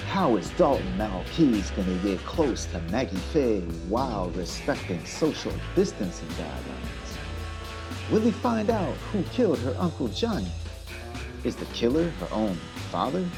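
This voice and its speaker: A man's voice: medium pitch, wildly swooping pitch, unhurried. A man playing a melodramatic, tongue-in-cheek announcer narrating a radio serial cliffhanger in a theatrical, questioning way. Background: guitar music.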